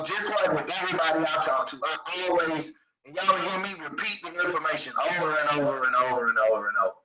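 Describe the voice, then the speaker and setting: A man's voice: fairly high pitch, echoey room, recorded from a distance, dull audio. A man, speaking in an emphatic, assertive way in a group discussion and repeating points for stress. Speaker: discussion participant. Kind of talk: passionate opinion in group discussion.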